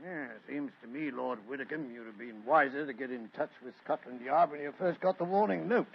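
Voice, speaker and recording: A man's voice: mid-range voice, fairly quick, slight background noise, close to an everyday voice. A man playing Inspector Lestrade, a blunt, practical police inspector, in a vintage radio drama. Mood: gruff. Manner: blunt, gruff, matter-of-fact. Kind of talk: 1940s radio acting. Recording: dull old radio recording.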